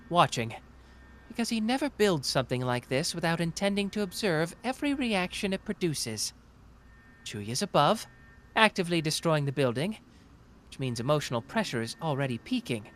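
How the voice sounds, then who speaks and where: A smug young male detective from an anime audio drama, acted out by a performer, swinging widely in pitch as he picks things apart. He is theatrical, playful and taunting, with some background ambience behind him. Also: fairly bright tone, slight background noise. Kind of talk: anime roleplay audio drama, deduction monologue.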